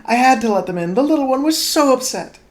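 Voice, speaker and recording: A reader voicing a flustered young housemaid who gasps her words out, pitched far above their own voice. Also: expressive, fast talker. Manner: breathless, high and anxious.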